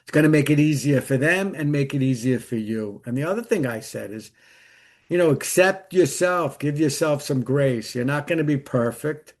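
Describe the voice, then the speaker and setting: Higher-pitched man telling personal stories. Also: moderate pace. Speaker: interview guest, author. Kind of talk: conversational storytelling and personal advice.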